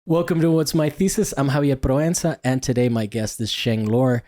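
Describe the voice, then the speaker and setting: A man hosting a casual conversational interview, asking inquisitive questions in a halting way and often rephrasing them. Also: medium pitch, fairly even intonation, mellow. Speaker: podcast host.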